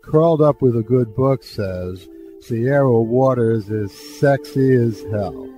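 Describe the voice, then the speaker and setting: A man's voice: medium pitch, slow, mellow. A man delivering a scripted promotional announcement. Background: music behind the voice.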